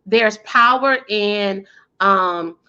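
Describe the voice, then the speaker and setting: A woman's voice: medium pitch, drawn-out words, clean tone, dull audio. An American woman giving articulate, emphatic answers as an interview guest, with a powerful, self-assured voice. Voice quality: loud, powerful voice. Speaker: interview guest, PR coach and speaker.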